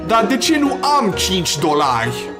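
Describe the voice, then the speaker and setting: A gaming YouTuber talks through a playthrough in a casual, crude, joking way. The voice sits at a middle pitch, with clearly audible game music underneath. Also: big pitch swings. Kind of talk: Let's Play, casual commentary and reading game dialogue aloud in character. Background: video game music.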